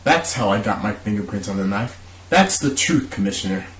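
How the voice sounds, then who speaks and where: Distressed young suspect, acted by a man for radio drama: pleading, agitated, widely swinging pitch, echoey room. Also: animated, a little fast, lightly acted. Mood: anxious, earnest. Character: distressed young man accused of killing his father. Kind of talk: amateur radio drama acting. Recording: roomy echo, faint hiss.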